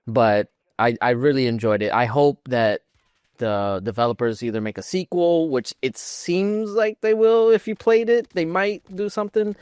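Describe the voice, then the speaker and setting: A man's voice: medium pitch, moderate pace, darkish tone, smooth, no room sound. Man chatting casually, clean dry sound. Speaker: podcast co-host. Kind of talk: casual chat about video games.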